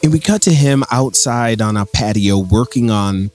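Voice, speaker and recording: A man's voice: medium pitch, normal speed, darkish tone. A man hosting a comics recap, retelling the story in a casual, conversational, enthusiastic fan commentary. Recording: voice with music underneath. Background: music.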